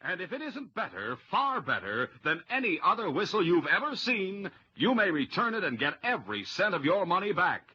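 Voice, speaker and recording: An enthusiastic vintage radio salesman, with a bright, lively, fairly high voice. He pitches emphatically through dull, slightly noisy old broadcast sound. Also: normal speed, few pauses, slightly rough, full character voice. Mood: keen, reassuring. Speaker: radio commercial announcer. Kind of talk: old-time radio mail-order pitch.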